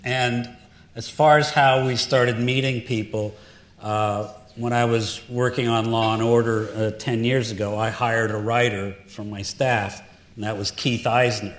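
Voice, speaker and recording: Joking director in an echoey hall. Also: male voice, medium pitch, steady tone, a little slow. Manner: joking, easygoing. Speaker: film director. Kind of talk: Q&A panel answers. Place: auditorium stage. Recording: echoey hall with faint noise.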